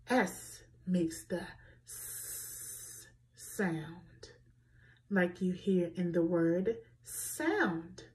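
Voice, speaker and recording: A woman reads a picture book aloud to small children, taking her time over every phrase. Her pitch sits in an ordinary middle range. She speaks clearly and with expression, and sounds out letters as she goes. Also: warm tone. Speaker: children's story reader.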